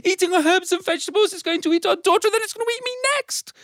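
A flustered, protective storybook mother played by a male storyteller, in a high pitch. She is excitable and fussy, repeating herself as she goes. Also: racing, dry recording, studio quality. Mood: panicked. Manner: fussy, repetitive.

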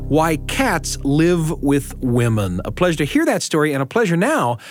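A man hosting a radio show with expressive, deliberate, storyteller-like phrasing. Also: a little high, faint music behind. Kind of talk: radio hosting, scripted intros and round-table chat.

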